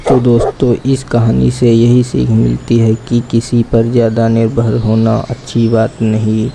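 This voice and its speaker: A man narrating a scripted children's story in a plain, deliberate storytelling manner. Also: medium pitch, completely flat delivery, gravelly, noisy background.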